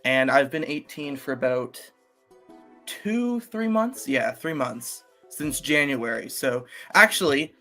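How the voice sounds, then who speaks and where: A man's voice: mid-range voice, lively intonation, takes it slowly, pushed and bright, soft background music. An eighteen-year-old man talking casually to his channel's viewers, rambling with many fillers and restarts. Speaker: YouTuber. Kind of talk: casual solo vlog talk.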